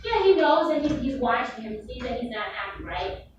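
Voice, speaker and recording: A woman's voice: on the high side, very animated, normal speed, muffled recording. A woman teacher reading aloud and leading a discussion with her class. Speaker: middle school teacher. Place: indoor classroom. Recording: very echoey classroom, distant mic, noisy.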